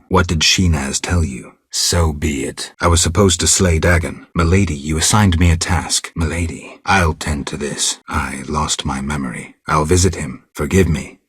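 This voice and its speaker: Game casting: world-weary witcher, rock-bottom bass register, gravelly, terse and deadpan, recorded very dry. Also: no room sound, exaggerated voice. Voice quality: gravelly, husky. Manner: terse, restrained, deadpan delivery. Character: stoic, world-weary male monster-hunting witcher. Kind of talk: video game character dialogue.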